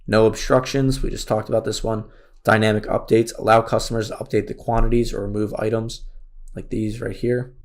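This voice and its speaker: A man with a raspy voice, losing it, casually walking through an online store tutorial with many fillers. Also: lowish voice, flat delivery, brisk. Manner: casual, thinks aloud with fillers. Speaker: course instructor. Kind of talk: screen-share tutorial walkthrough.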